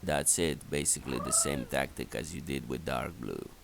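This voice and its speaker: Very deep, gravelly man giving game tips. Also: background noise. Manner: halting, instructional, matter-of-fact. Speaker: game walkthrough commentator. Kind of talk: unscripted video game strategy commentary.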